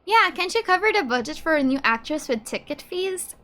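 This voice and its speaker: A woman's voice: sits high, sing-song, brisk, a bit bright. A woman gaming streamer giving chatty, informal, reactive commentary as she plays. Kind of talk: casual gameplay commentary. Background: game music.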